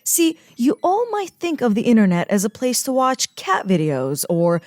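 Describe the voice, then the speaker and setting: An eager young agent in training in a comedy audio drama. She is bossy and keen to take charge. Her voice sits a little high and moves in a lively way. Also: moderate pace, dry recording. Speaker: Mackenzie McGrath.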